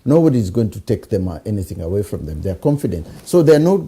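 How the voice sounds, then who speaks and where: A man's voice: lowish voice, sing-song, fast, warm tone, husky. A man from Uganda, asking long, searching questions in a conversational interview and often murmuring agreement. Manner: searching, hesitant, frequent backchannel agreement.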